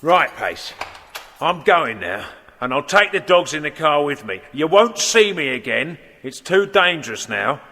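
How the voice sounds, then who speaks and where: An actor playing a menacing crooked gang boss in a children's audio drama, gravelly and sneering as he barks orders. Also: fairly high pitch, big pitch swings, unhurried, pushed and bright, heavily acted. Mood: smug, scornful. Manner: bullying, barking orders, sneering. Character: menacing crooked dog-thief gang boss, adult male. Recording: echoey hall reverb.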